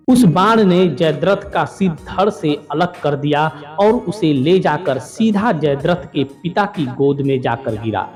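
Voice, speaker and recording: A man narrating a mythological story in an even, formal storytelling manner. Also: a little high.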